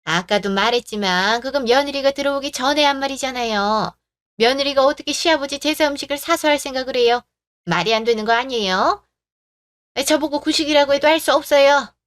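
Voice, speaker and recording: A woman's voice: high voice, fairly quick. A woman voicing a stubborn, old-fashioned older mother-in-law who talks in an indignant, insistent way for a radio drama. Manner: indignant, insistent, sharp-tongued.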